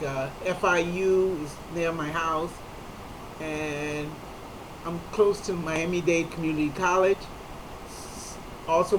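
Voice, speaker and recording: A comedian, a man with a high voice for a man, telling a personal story in an earnest, halting way with frequent restarts. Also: slight background noise, rough recording. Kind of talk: personal talk to camera.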